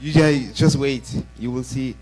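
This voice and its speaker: A man interpreting a sermon live in church in short bursts, halting and rephrasing as he goes. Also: mid-range voice, steady tone, darkish tone, poor audio. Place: church, before a congregation. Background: faint music.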